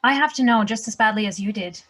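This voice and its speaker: A fairly high-pitched woman's voice from an actress plays an anxious wife at a drama table read. She comes across as earnest and determined. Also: fast, a bit bright. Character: anxious, determined wife wanting to find her husband.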